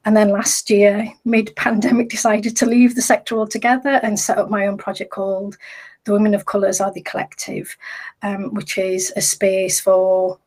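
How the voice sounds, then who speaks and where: A woman, founder of a community project, speaking in a measured, steady way with fillers on a video call panel. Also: mid-range voice. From Sheffield and Rotherham, England. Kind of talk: live-stream panel discussion.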